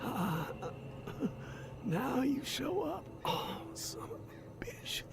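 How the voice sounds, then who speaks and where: An actor playing a battered, dying male survivor in a video game, weak and short of breath, pleading bitterly in halting, breathy speech that breaks into whispers. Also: extremely high pitch, sing-song, noisy background, full character voice. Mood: bitter, defiant. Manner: halting, labored, swearing, pleading. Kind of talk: dramatic video game acting. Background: music.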